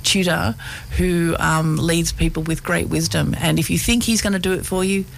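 Gravelly Australian woman, enthusiastic. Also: lowish voice, steady tone, normal speed, a little hiss or hum, poor audio. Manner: enthusiastic, warm talker. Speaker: education coordinator guest. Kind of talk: casual interview chat.

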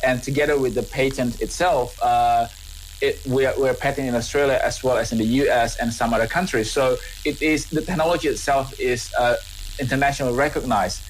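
A man's voice: mid-range voice, fairly even intonation, a little fast, a little hiss or hum, poor audio. Earnest Indonesian-accented man, restarting words. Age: 30s. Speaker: scientist and business owner. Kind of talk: radio interview answers.

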